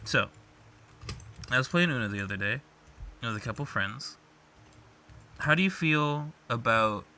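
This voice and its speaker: A young man with a very bright, forceful voice chats as a podcast co-host. His pitch moves around a lot as he argues his side in loose, profane banter. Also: gravelly, slight background noise. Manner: profane, argumentative. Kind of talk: casual chat, banter.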